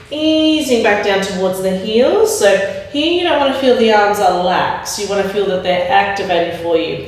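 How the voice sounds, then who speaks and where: Calm woman guiding yoga, echoey room. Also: mid-range voice. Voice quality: slightly raspy when straining. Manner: calm, gentle guiding cues. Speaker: yoga instructor. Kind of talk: guided yoga class instruction. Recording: very echoey room, mic at a distance.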